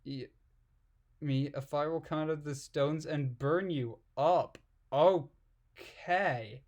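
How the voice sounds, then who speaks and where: A man's voice: on the high side, takes it slowly, a little mellow. A man reading aloud and commenting casually in an irreverent, giggly, puzzled manner. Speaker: amateur reader and commentator.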